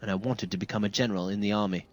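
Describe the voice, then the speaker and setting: A man's voice: on the low side, steady tone, rapid-fire, dry recording, lightly acted. An actor voicing a proud, dutiful young male squire in a fantasy game, speaking formally and earnestly.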